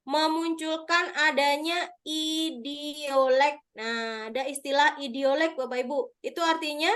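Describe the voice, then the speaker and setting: High-voiced woman teacher explaining on a call. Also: steady tone, a little slow, a bit bright. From Madura. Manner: explains patiently, checks answers with participants. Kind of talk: online exam review lesson.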